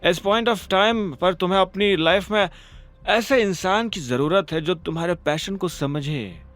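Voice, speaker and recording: A man's voice: sits high, a little slow, fairly bright tone, soft background music, pitched above the performer's own voice. A man playing a smug, condescending young businessman pressing his suit in an audio drama. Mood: persuasive, boastful. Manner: smug, persuasive, sneering. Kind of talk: Hindi audio drama character acting.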